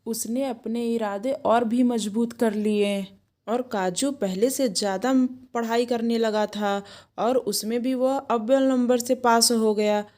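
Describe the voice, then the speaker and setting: A woman with a fairly high voice serves as narrator. She delivers scripted storytelling narration for an animated moral tale. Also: slow.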